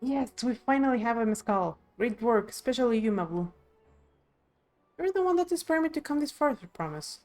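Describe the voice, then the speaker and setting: A woman's voice: a little high, animated, brisk, a little mellow, faint music behind. A woman reading video game dialogue aloud expressively on a live stream.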